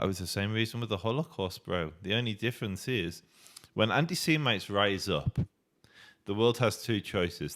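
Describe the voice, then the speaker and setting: A man arguing forcefully in a street debate, assertive and quick to push back. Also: on the low side. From United Kingdom. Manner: assertive, argumentative, calls others bro.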